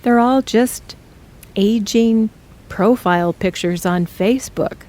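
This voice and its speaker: A woman's voice: mid-range voice, darkish tone, close to an everyday voice. An actress playing a blunt, pushy mother. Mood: earnest. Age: middle-aged. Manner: firm, measured, blunt. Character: pushy practical middle-aged mother. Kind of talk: audio drama acting.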